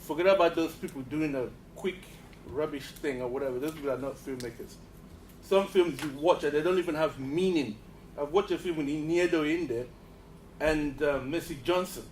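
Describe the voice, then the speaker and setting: A man's voice: on the high side, moderate pace. A man speaking bluntly and dismissively from the floor of a press conference. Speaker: audience member at a press conference. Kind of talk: spontaneous public comment. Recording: echoey hall with faint background noise.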